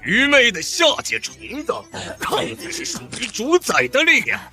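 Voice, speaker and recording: A man voicing an arrogant, godlike alien overlord in an animated comic drama, pompous, contemptuous and menacing. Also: very high-pitched, normal speed, over music, exaggerated voice. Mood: haughty contempt.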